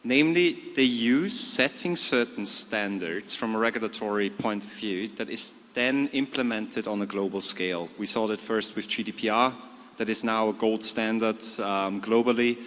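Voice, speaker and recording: A man's voice: lowish voice, normal speed, a bit bright. A man answering as a legal expert on a conference panel, articulate and explanatory. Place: conference stage in a large hall. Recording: long hall reverb.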